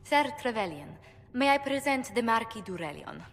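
Poised fantasy ambassador: smooth, diplomatic, gracious; game audio with long reverb. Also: female voice, on the high side, very animated, normal speed, a bit bright. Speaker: game character.

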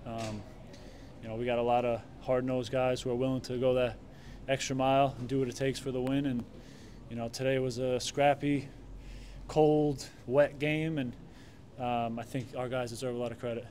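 A man in his thirties, a goalkeeper answering reporters' questions at a press conference in a relaxed way, with many fillers and restarts. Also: mid-range voice, moderate pace, slight background noise. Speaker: soccer goalkeeper. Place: stadium press room.

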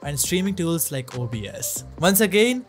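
A man narrates a scripted software tutorial in the manner of an online reviewer. His voice has an ordinary range, and music plays clearly behind him. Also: fairly high pitch, big pitch swings, moderate pace.

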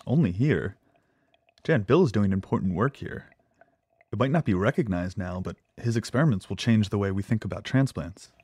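An actor playing a nervous, devoted lab assistant in an audio drama, speaking earnestly and with hesitant stammers. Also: male voice, fairly low pitch, lively intonation, normal speed, a little mellow, husky, no echo. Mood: awkward, earnest.

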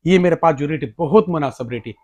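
A man in his thirties, a shopkeeper, eagerly pitching products in a crowded electronics shop, recorded on a lapel mic. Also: fast talker, highs cut off, a crowd in the background. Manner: eager sales pitch, repeating "ye dekh lo". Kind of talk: product demonstration.

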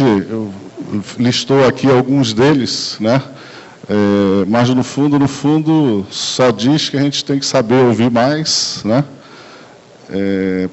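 A man with a Brazilian accent giving a relaxed, conversational sales presentation at a conference, into a handheld mic in a large room. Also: mid-range voice. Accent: Brazilian Portuguese. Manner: relaxed, conversational, frequent tag questions and fillers. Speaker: sales talk speaker. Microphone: handheld mic, recorded by far camera. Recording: dull lo-fi camcorder audio.

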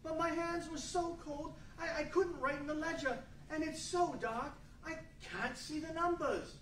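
A woman's voice: sits high, measured pace. A woman playing a poor, kind male office clerk in a staged radio play, timid and apologetic. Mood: meek, apologetic. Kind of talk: stage readers' theatre as 1940s radio play. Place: large indoor meeting room with seated audience. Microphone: far camera. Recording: echoey room from far off, faint noise.

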